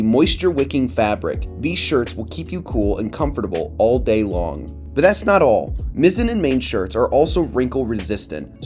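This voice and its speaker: A man's voice: medium pitch, a little mellow. A man delivering a scripted promotional read in the manner of an advertisement announcer. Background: guitar music.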